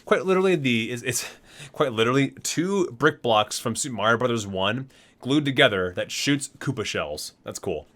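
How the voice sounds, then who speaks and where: Man reading ASMR with lively, swooping pitch. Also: normal speed. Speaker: host of an ASMR reading and unboxing video. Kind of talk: ASMR read-aloud with casual asides.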